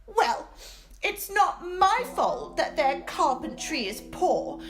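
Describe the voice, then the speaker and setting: A woman's voice: very high voice, big pitch swings, slow pace, fairly bright tone, faint music behind, voice pushed up, heavily acted. A woman voicing a cheeky, fussy little girl in a children's puppet story, exclaiming and drawing out her words. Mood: huffy.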